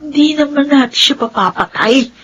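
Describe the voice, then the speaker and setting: Actress as scheming, high-voiced Filipino older sister. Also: female voice, normal speed, dull audio, lightly acted. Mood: resentful, determined. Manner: insistent, commanding. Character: scheming, hot-headed older sister resenting her mother's lover. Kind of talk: Filipino radio drama acting.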